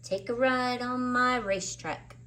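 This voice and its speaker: A woman voicing a boisterous, boastful young boy in a children's storybook, calling out loudly and proudly. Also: a little high, fairly even intonation, a little slow, pitched above the performer's own voice. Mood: playful.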